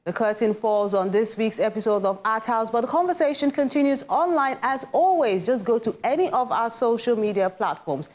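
A woman's voice: fairly high pitch, moderate pace, hardly any pauses, a little mellow, slight background noise. A woman presenting a television programme as its host from a script.

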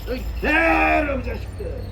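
A man shouting a protest rant outdoors in a very high, strained voice. He drags out every line slowly, hurling insults in long angry cries, with faint street noise behind him. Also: big pitch swings.

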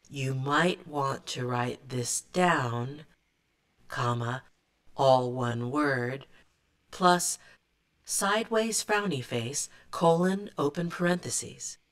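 A woman performing in a recorded sound piece, flatly reciting characters and symbols one by one. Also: very deep voice, voice pushed down, full character voice. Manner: flat, deliberate recitation of characters.

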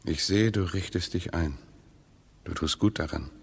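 A low, husky male voice with a creaky edge. He is a bitterly ironic husband in a radio drama, resigned and formal, needling his wife in measured, dry phrases. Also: exaggerated voice. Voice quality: husky, gravelly. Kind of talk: radio play acting.

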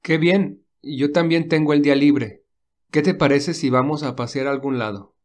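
A man voicing a caring young male lead in a read-aloud romance, speaking softly with light teasing. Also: a little high, fairly even intonation, moderate pace, close to an everyday voice. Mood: gentle.

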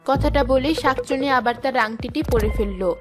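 Female narrator for an animated fairy tale, scripted storytelling delivery, clear music bed. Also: high voice, normal speed.

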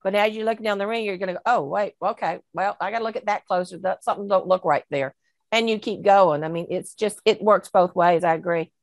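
A woman's voice: medium pitch, fast talker, dry recording. A woman on a video call panel, talking casually and thinking aloud with rhetorical questions about dog breeding.

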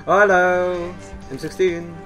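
A young man giving excitable, exclaiming commentary while playing a co-op game. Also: high-pitched, slow, soft background music. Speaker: gaming video player.

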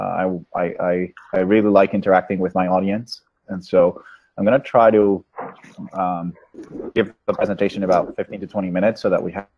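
Guy in his 30s, spanish speaker, really deep voice, coach doing a slide talk with lots of hesitation, muffled webinar sound. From Venezuela. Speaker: product management coach giving a webinar. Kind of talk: informal slide presentation. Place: small room indoors, on a video call. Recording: dull, lo-fi webinar audio.

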